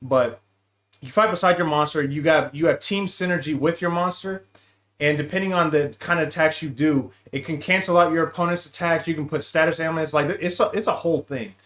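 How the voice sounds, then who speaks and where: A man's voice: on the high side, fairly quick, a bit bright. A man as a podcast guest chatting casually about games in loose, bro-heavy slang. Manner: slangy, calls the other speaker bro and dude. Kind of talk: casual gaming podcast chat.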